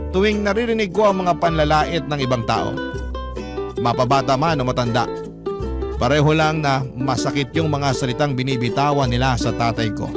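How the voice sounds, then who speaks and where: A man's voice: mid-range voice, natural voice. A man playing a hurt, devoted letter writer, earnestly narrating a life story in a radio drama. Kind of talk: first-person dramatic narration. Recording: loud plucked-string music underneath.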